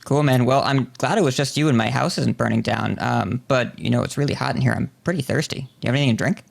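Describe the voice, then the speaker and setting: A male podcast host with a noticeably creaky voice at an average pitch. He talks in a conversational interview style, building wordy, considered phrases. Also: quick pace.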